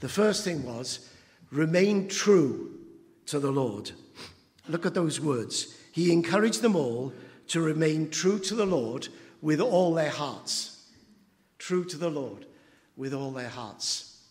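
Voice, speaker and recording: A man, preaching an anniversary sermon as pastor, halting with fillers and building to emphatic moments. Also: on the high side, animated, darkish tone. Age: 70s. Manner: slow, halting with many fillers, rising to emphatic. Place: church hall. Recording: long church reverb.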